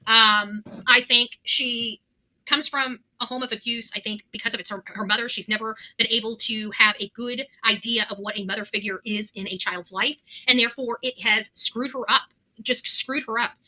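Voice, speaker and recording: A woman's voice: a little high, fairly even intonation, normal speed, bright, forceful tone, poor audio. A woman giving unscripted, blunt and accusatory opinion commentary as a vlog host.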